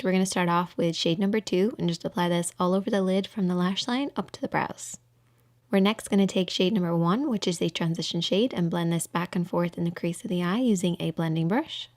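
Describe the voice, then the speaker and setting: A woman's voice: on the low side, a little mellow. A woman giving an informal voice-over for a makeup tutorial, explaining things step by step.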